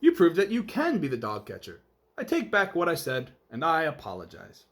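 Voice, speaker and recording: Man playing a blustery storybook mayor, wildly animated. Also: fairly high pitch, normal speed, exaggerated voice. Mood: declaring. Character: blustering, indignant small-town male mayor. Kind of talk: children's storybook read-aloud.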